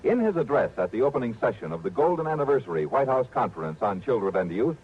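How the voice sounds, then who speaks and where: A man's voice: mid-range voice, sing-song, fast, slight background noise. A man with an American accent announcing formally and emphatically in the scripted style of mid-century radio. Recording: muffled vintage radio recording.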